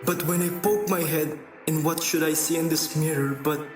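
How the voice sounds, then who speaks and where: A distant, echoing radio drama grandfather, acted by a man, with music softly underneath. He is old, playful and mock-stern, fond of theatrical teasing and wistful tales. His voice sits on the high side. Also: flat delivery, normal speed, lightly acted. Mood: dramatic reminiscing.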